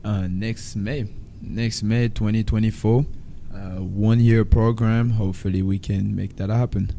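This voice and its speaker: A young man with a French Caribbean accent speaks in a low, mellow voice. He talks in a relaxed, hesitant way and fills his pauses. There is a faint hum of noise behind him. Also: gravelly. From Guadeloupe. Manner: relaxed, hesitant, many fillers. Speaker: podcast co-host. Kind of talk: casual chat.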